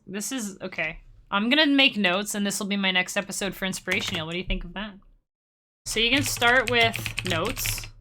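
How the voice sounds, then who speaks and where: Young woman streaming a music lesson, bright voice, rambly and jokey. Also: fairly high pitch. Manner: rambling, self-deprecating, playful asides. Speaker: music creator teaching on stream. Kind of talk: casual live-stream tutorial chat.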